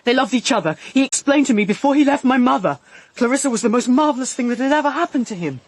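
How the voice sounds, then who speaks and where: Naive teenage boy, husky, animated, halting, defensive, dry radio drama sound. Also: extremely high pitch, no echo. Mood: anxious disbelief. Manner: halting, questioning. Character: naive seventeen-year-old youth, defensive and anxious.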